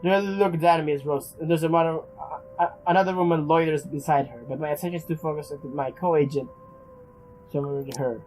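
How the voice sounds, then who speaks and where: A man reading game narration aloud in a halting way, as a let's play host. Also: a little high, fairly even intonation, a little mellow, a little hiss or hum, low-quality recording. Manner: halting, stumbles and repeats words while reading. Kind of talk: reading visual novel narration aloud with casual commentary. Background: music.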